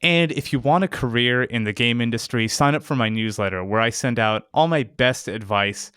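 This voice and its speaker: Smooth, clear male tutorial host. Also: medium pitch, moderate pace, pushed and bright, dry recording, clean studio sound. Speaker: tutorial host, sound designer. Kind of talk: instructional video narration, casual. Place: close, dry voice-over recording.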